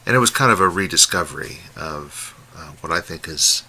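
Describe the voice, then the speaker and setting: Very deep, bright-voiced man, casual. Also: expressive, moderate pace, husky. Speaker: radio hobbyist presenting a comparison. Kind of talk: casual unscripted demonstration talk. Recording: faint background noise.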